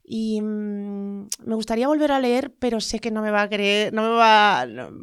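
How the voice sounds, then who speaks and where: A middle-aged woman with a Spanish accent talks reflectively as a guest, pausing often, filling gaps with "eh" and tag questions. Her voice sits at an average pitch for a woman. It is recorded dry and close, with no room sound. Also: animated, smooth, no echo. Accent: Spain Spanish. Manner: hesitant, many fillers and tag questions. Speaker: interview guest, lawyer. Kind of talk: reflective conversational interview.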